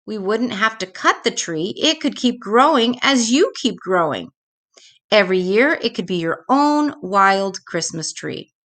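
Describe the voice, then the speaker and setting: A woman voicing a kindly, thoughtful father in a children's storybook, reasoning gently with his child. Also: medium pitch, expressive, unhurried. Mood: warm, thoughtful.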